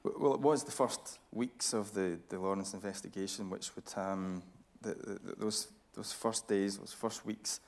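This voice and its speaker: A man with a low voice hosts a live on-stage interview. He builds his questions haltingly and thoughtfully, working through each phrase as he goes. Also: fairly even intonation, vocal fry, a little mellow. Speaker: interviewer and host.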